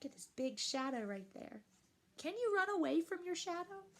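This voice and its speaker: High pitched lady doing storytime for little kids, super animated, takes it slow and asks the listeners stuff. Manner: animated, slow and deliberate, addressing young children. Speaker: storyteller reading a picture book aloud to children. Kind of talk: expressive children's read-aloud with questions to listeners.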